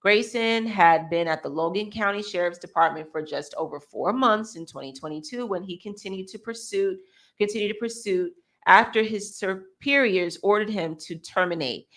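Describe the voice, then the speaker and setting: A woman's voice: mid-range voice, normal speed, fairly bright tone. An American woman reading a news article aloud as a co-host, with casual asides and the occasional stumble over words.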